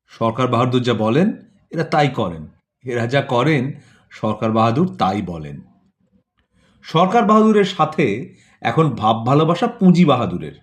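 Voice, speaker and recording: A man's voice: medium pitch, very animated, measured pace, long pauses. A man reading a scripted satirical story, phrasing it rhetorically with repetitions and lists of words set apart. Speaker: narrator of an audio play.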